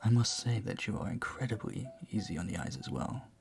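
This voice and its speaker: A man's voice: fairly low pitch, very animated, fairly quick, gravelly, soft background music. An actor playing a charming, flirtatious vampire bartender in an intimate audio roleplay, suave and teasing as he speaks to the listener.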